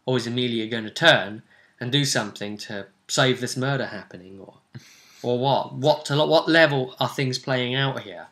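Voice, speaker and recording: Male game host, bright forceful voice, casual conversational recap of a tabletop story. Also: medium pitch, moderate pace. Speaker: role-play session host.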